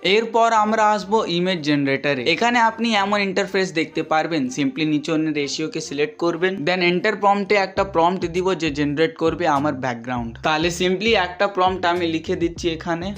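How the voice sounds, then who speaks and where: A man with a fairly high voice gives a step-by-step software tutorial. He mixes English words into his explanations. Soft music plays faintly underneath. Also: normal speed, few pauses. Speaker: tutorial presenter.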